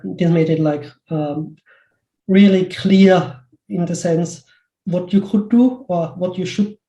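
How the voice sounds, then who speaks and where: A man's voice: sits high, fairly even intonation, slow, mellow, lo-fi sound. A man with an Austrian accent talking casually as an interview guest, circling back over words and peppering his sentences with asides. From Western Austria. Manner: hesitant, looping delivery with repeated words and many "like" asides. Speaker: interview guest, pixel artist. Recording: roomy, echoey sound.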